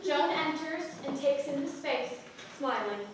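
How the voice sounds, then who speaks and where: A woman's voice: high voice, animated, unhurried. A woman narrator reading stage directions as scripted narration in a theatre. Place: theatre with an audience. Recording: very echoey, distant, audience noise behind.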